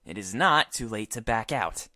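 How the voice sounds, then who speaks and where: A woman's voice: a bass voice, animated, normal speed, bright, forceful tone, no room sound, clean studio sound, exaggerated voice. A woman voicing a pompous, demanding male leader of an exterminator squad, with formal, theatrical speech in a visual novel. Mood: stern, grand.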